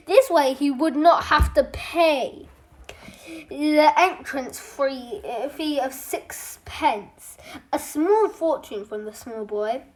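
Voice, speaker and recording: Slow, high-pitched storybook reader. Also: poor audio. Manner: halting read-aloud with stumbles and self-corrections. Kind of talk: children's audiobook reading, scripted narration.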